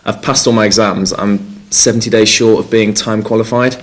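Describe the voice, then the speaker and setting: Young North Wales man, rugby player at a presser, low-ish voice, halting and full of "you know". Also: fairly even intonation. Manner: hesitant, many fillers and restarts. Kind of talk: press conference interview.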